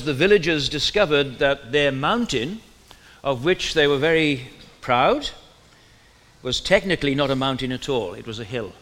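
A man's voice: mid-range voice, fairly bright tone, big-room sound. A man with a Welsh accent preaching a sermon in a conversational way, often asking his listeners questions.

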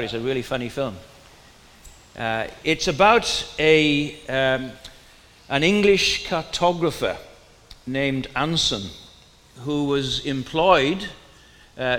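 Welsh preacher in a reverberant hall. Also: male voice, mid-range voice, measured pace, a bit bright. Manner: conversational, asks the listeners questions. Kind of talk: sermon.

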